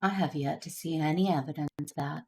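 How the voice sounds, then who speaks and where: A woman playing a solemn, conflicted but resolute Jedi warrior in an audio drama, speaking in a measured, earnest way. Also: low-pitched, mellow. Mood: cold, resolute. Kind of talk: first-person narration and dialogue in audio drama.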